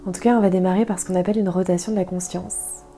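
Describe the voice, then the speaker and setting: A woman guiding a yoga nidra meditation in a soft, calm, soothing way, leaving gentle pauses. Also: lowish voice, faint music behind. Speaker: guided meditation instructor.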